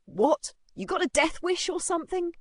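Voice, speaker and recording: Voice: extremely high pitch, very animated, moderate pace, no echo, pitched above the performer's own voice. A narrator voicing a violent, sneering teenage male bully who barks taunts and threats in a dramatized story.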